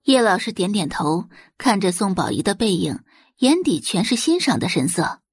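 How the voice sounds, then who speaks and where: A woman's voice: medium pitch, sing-song, normal speed, slightly rough, dry recording, clean studio sound, soft background music. A woman narrating a web novel as a dramatized audiobook, telling the story expressively.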